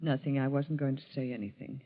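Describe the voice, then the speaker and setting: A woman's voice: rumbling low, steady tone, fairly quick. An actress playing a timid middle-aged wife and mother, beseeching and hesitant, in melodramatic 1940s radio style. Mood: uneasy, subdued. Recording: dull vintage 1940s radio recording.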